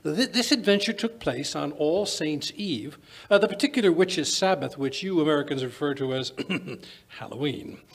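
A man's voice: on the high side, expressive, moderate pace, husky. An older man playing a genial elderly British doctor in a radio play, an avuncular storyteller who hesitates and rambles. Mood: relishing storyteller. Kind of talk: old-time radio drama acting. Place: small stage in a museum, live performance. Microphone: vintage-style stand microphone.

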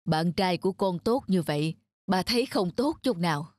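A woman voicing a calm lecturing cartoon dad. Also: fairly low pitch. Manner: measured, reasoning, fatherly. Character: calm middle-aged father, gently lecturing. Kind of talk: cartoon dub acting. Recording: studio voice-over with background music.

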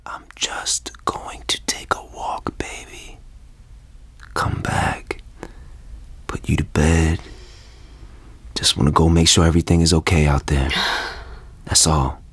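An actor playing a troubled, protective husband and expectant father in a naturalistic audio drama, speaking in measured, short phrases. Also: a bass voice, a bit bright, slightly rough, no room sound, heavily acted. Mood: soft, soothing.

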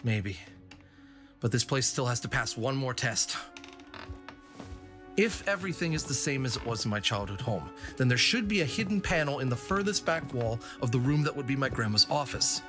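Man acting deep-voiced adventurous fox hero over music. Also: very animated, moderate pace, lightly acted. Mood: reflective. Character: adventurous male fox hero, nostalgic and stubborn. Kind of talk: audio drama acting. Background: dramatic background music.

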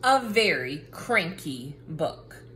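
Woman reading a picture book, unhurried. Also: sits low, sing-song, sharp tone, a little hiss or hum. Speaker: reader. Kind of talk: children's picture book read-aloud.

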